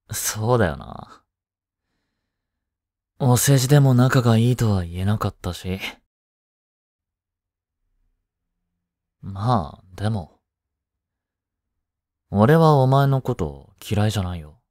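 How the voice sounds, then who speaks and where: A young man playing a blunt, teasing but caring studious high-school boy in an intimate audio drama, speaking casually. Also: animated, slow, pauses often, dry recording, clean studio sound. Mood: soft, sincere. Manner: casual, curt, softly teasing. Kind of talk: intimate Japanese boyfriend-roleplay audio drama.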